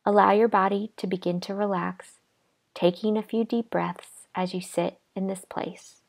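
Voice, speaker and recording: A woman leading a guided imagery relaxation exercise in a calm, gentle, unhurried manner with pauses. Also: mid-range voice, vocal fry. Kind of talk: guided imagery meditation narration.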